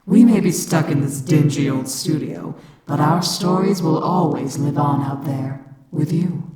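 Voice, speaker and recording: A woman voicing a twisted female angel with a measured, wry, darkly polite manner in a scripted audio drama. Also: low voice, warm tone. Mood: fond, measured. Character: slow, dry-humoured, twisted female angel. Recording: echoing room sound.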